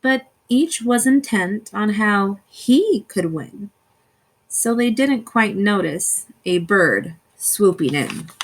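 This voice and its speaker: A woman reading a rhyming children's story aloud in an expressive, theatrical way with deliberate phrasing. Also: mid-range voice, poor audio. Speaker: storybook reader.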